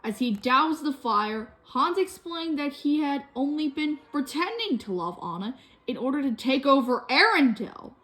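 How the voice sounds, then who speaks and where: A woman's voice: high-pitched, moderate pace. A woman reading a children's story aloud expressively, acting out the characters' dialogue as she goes. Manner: animated, acts out dialogue. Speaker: storybook reader.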